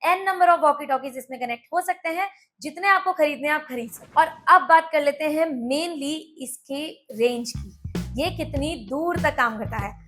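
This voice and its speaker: Female product reviewer, Indian accent, very high register, casual explanatory delivery to viewers, music underneath. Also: moderate pace, a bit bright. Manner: explanatory, addresses viewers directly.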